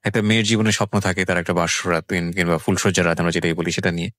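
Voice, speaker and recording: A man's voice: low-pitched, level tone, racing, a bit bright, no room sound, clean studio sound. A man with a Bangladeshi accent hosting a conversational radio interview, speaking earnestly and mixing in English words. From Bangladesh, outside Dhaka. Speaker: radio show host interviewing a guest.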